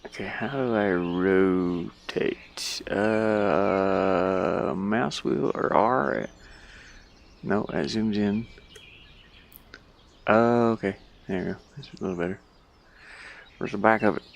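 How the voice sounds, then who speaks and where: A man's voice: lowish voice, takes it slowly, vocal fry, pauses often, a little hiss or hum. A man giving casual gameplay commentary, puzzled and muttering to himself as he thinks aloud. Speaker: gamer playing and commenting on a game.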